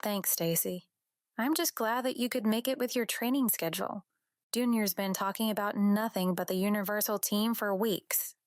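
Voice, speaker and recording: A woman's voice: medium pitch, normal speed, no echo, lightly acted. Young bride-to-be, played by a woman, gracious, friendly, audiobook drama, dry studio sound. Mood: friendly, grateful. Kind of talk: full-cast audiobook acting.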